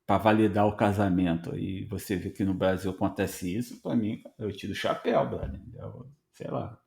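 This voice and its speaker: A Brazilian man hosting a podcast, telling stories in a casual, animated way and acting out bits of dialogue. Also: lowish voice, moderate pace, gravelly. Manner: conversational, animated storytelling with voiced dialogue. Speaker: podcast host.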